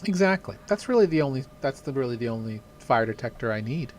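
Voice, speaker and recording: A man's voice: mid-range voice, very animated, quick pace, a little hiss or hum. A man from the Southern US co-hosting a podcast over a video call, chatting casually and rambling with lots of fillers. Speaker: podcast co-host. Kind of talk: casual chat.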